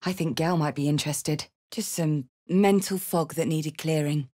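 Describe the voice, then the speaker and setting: A woman's voice: sits low, normal speed, mellow. An actress voicing a battle-hardened tiefling barbarian woman in a video game, with a blunt, earthy, wry way of talking. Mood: matter-of-fact. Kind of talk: video game character voice lines.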